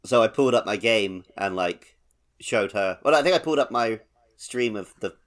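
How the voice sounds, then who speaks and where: A man's voice: on the low side, lively intonation, normal speed, a bit bright. A man hosting a podcast, chatting casually about a video game. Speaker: podcast host.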